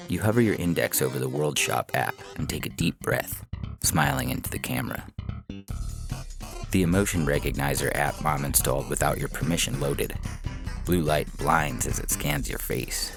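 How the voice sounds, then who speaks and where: A man with an extremely low voice narrates short fiction. There is a heavy creak and gravel in his delivery, and music plays underneath as he reads. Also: sing-song, normal speed. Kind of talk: scripted story narration in second person.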